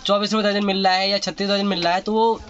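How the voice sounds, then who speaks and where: A man who runs a wholesale shop in a market, speaking. He keeps up quick, persuasive sales patter and repeats his prices. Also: high-pitched, flat delivery, slight background noise. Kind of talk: sales pitch to an interviewer. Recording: dull lo-fi sound, loud market crowd.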